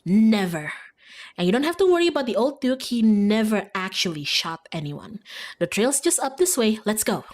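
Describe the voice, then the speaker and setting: A woman's voice: mid-range voice, very animated, normal speed, a little mellow, lightly acted. A woman voicing an eager, determined young female video maker who hunts monsters, earnest and insistent, in a game. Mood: conspiratorial, eager.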